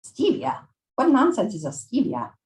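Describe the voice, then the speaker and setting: Old Italian woman shopkeeper, played by a woman reader: higher pitch, Italian accent, bossy, mothering, snarky, from a read-aloud novel. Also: huge pitch swings, moderate pace, a little mellow. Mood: scolding.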